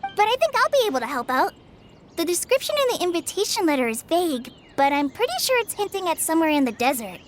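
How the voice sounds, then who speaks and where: A woman's voice: extremely high pitch, very animated, fast talker, a little hiss or hum. An actress playing a shy, earnest young woman forest ranger who hesitates and stammers, in a game. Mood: thoughtful. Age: young adult. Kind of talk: game voice acting.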